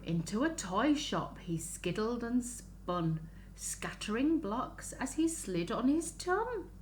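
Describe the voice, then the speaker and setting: A woman reading a picture book aloud to young children in an expressive, sing-song way, stopping to ask them questions. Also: a little high, slow pace. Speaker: children's story reader. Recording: roomy echo, faint background noise.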